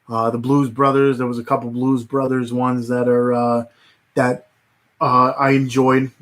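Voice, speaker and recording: A man hosting a casual livestream, rambling with many fillers and restarts, his voice starting to go hoarse. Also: medium pitch, level tone, a little slow, fairly bright tone. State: voice getting hoarse. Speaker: livestream host.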